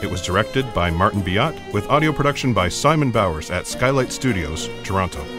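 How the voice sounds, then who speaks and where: Low-voiced male announcer over music. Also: lively intonation, brisk. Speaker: credits announcer. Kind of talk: scripted announcement.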